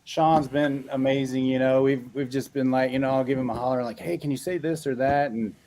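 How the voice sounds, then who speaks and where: A man's voice: mid-range voice, fairly even intonation, fairly quick, a little mellow. A man speaking as a game developer guest, upbeat and enthusiastic in casual chat.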